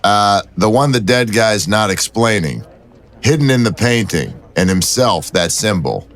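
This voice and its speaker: Casting: male actor as wry audio-drama detective, low register, cool teasing banter. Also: expressive, a little slow, a bit bright, faint music behind.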